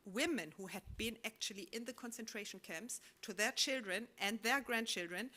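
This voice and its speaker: A woman giving a public talk, reflective and halting, posing rhetorical questions and restarting her words often. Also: a little high, sharp tone. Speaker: conference speaker. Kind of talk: public talk sharing collected testimonies.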